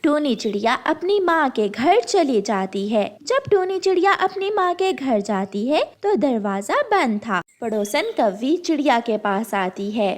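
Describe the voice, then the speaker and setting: A woman's voice: high-pitched, very animated, measured pace, few pauses. A woman narrating a children's cartoon story in a scripted storytelling style.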